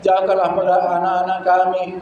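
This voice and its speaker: A man's voice: sits high, monotone, normal speed, a little mellow, a little hiss or hum. Male preacher in an echoey hall. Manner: fervent pleading supplication. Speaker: preacher leading prayer. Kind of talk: sermon closing with prayer. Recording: echoey hall, dull lo-fi sound.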